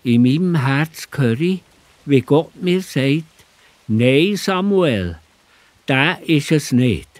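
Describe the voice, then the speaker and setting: A man acts out an old, pious prophet with a Swiss accent. His voice sits fairly high and moves in big, theatrical swings. The recording is close and dry, made for a children's radio play. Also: expressive, takes it slowly, a little mellow, no echo. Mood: admiring. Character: old biblical prophet, pious, solemn, astonished. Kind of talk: children's Bible audio drama acting.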